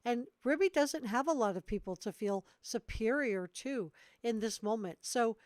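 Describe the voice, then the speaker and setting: A woman's voice: fairly high pitch, lively intonation, moderate pace, darkish tone, no room sound, clean studio sound. A woman host giving analytical commentary on clips. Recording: music bed behind voice. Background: background music.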